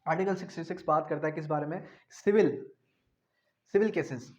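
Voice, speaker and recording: A man giving an explanatory law lecture, repeating key phrases slowly and asking rhetorical questions in a didactic way. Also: on the high side. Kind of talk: explanatory law lecture, mixing in English terms. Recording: dull, muffled lo-fi recording.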